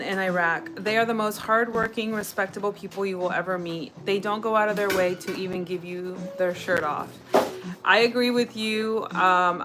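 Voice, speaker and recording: An American woman hosting a casual livestream, chatting with viewers as she reads out their comments and replies. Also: mid-range voice. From United States. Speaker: livestream host. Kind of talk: casual chat with live viewers. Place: home kitchen while cooking. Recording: music playing behind the voice. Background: music.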